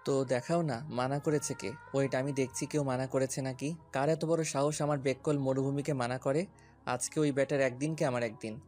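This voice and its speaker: A man's voice: medium pitch, fairly even intonation, fairly quick, a little mellow, close to an everyday voice. An actor playing a brash, possessive young man in a romantic audio story, taunting, stubborn and commanding. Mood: drunk, playful. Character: brash, possessive young man, often drunk, teasing and menacing. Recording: music bed behind the voice.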